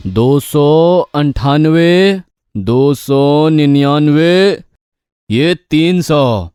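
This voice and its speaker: A man's voice: fairly high pitch, animated, extremely slow, darkish tone, clean tone, no room sound, clean studio sound, soft background music. A man voicing a scheming, bullying husband and master in a cartoon story, gloating and threatening with emphatic delivery. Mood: stern, threatening. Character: scheming, bullying lazy husband, a barber posing as magician master. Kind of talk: Hindi cartoon story acting.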